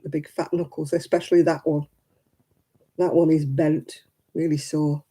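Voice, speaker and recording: A woman in her seventies hosting a crafting livestream, chatting homely and warmly with viewers by name and laughing often. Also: low voice, flat delivery, normal speed, breathy edge. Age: 70s. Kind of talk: casual chat reading out viewer comments.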